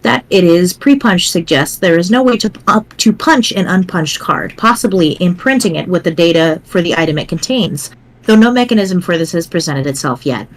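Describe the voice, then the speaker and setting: A woman voicing a pedantic, precise young explainer in a dramatic reading, formal, wordy and measured. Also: lowish voice, animated. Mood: dry, instructive.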